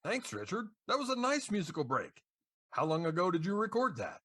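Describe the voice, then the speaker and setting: A man's voice: sits high, wildly swooping pitch, fairly quick, bright, forceful tone, slightly rough. A man hosting a podcast with scripted banter and introductions, in a playful DJ style. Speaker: podcast host.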